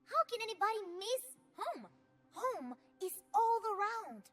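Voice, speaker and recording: A woman's voice: very high-pitched, very animated, unhurried, fairly bright tone, faint music behind, full character voice. A woman voicing a playful, childlike and tender shapeshifting chameleon creature in a video game, speaking in simple broken sentences about herself in the third person. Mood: dreamy, tender. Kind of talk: video game character voicelines.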